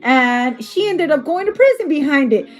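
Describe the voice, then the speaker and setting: A woman's voice: sits high, lively intonation, normal speed, soft background music. A woman doing a casual tarot reading who is losing her voice, talking bluntly and swearing freely. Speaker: tarot reader.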